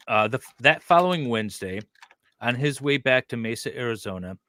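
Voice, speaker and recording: Bright-voiced man reading aloud with asides. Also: medium pitch, slight background noise. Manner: joking, breaking off to make asides. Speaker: co-host of a true-crime livestream. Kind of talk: casual reading aloud with commentary.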